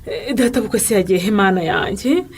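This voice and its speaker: A woman's voice: medium pitch, lively intonation, fairly quick, a little mellow, slightly rough. A woman playing a worried mother in a radio drama, full of exclamations. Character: worried mother, exclaiming over missing father-in-law. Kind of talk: Kinyarwanda radio drama acting.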